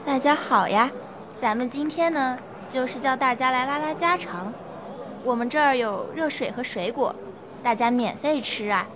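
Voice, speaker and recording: A woman's voice: sits high, moderate pace, noisy background, lightly acted. A woman playing an ingratiating, persuasive health-product saleswoman in a radio play. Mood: friendly, coaxing. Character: smooth-talking, pushy female health-product saleswoman pitching magnetic mattresses. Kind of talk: amateur legal-education radio play.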